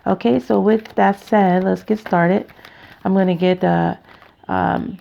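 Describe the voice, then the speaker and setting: A tired woman with a raspy voice, hosting a casual card reading in a halting, chatty, informal way. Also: medium pitch, fairly even intonation, warm tone. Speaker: tarot reader, channel host. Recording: dull, muffled lo-fi sound.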